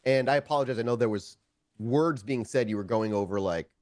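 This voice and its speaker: A man's voice: medium pitch, fairly quick, a little mellow, no room sound, clean studio sound. A man running a tabletop game as storyteller, narrating scenes off the cuff in a wordy way and often rephrasing mid-sentence.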